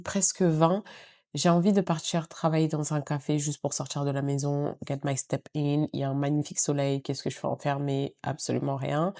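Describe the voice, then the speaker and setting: Low, dark-voiced woman with a cold. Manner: chatty, mixes English phrases into French. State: congested with a cold. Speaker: vlogger. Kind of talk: casual vlog talk to camera. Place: at home.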